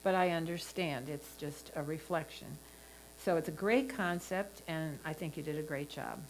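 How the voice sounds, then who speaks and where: A woman's voice: sits low, moderate pace, slight background noise, poor audio. A woman giving a prepared spoken critique of images as a photo competition judge.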